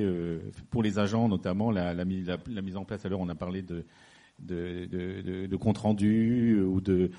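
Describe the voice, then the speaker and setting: A man's voice: sits low, dark tone. A man speaking on a panel who rambles, restarting his sentences and taking questions. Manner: rambling, many fillers and restarts. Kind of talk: public discussion.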